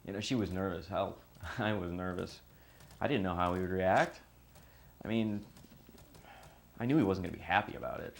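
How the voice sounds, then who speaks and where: A man playing a troubled son in an audio drama, giving testimony in a halting, confiding way. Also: low voice, creaky. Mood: nervous. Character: anguished son recalling his father's clash with his girlfriend. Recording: faint background noise.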